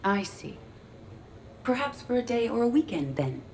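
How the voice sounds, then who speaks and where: An actress playing a curious, literal ship's artificial intelligence in a video game, speaking precisely and formally with a deadpan delivery. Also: female voice, a little high, moderate pace, a little hiss or hum. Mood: formal, earnest. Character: female ship artificial intelligence in android body, curious, literal, earnest. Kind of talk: video game dialogue acting.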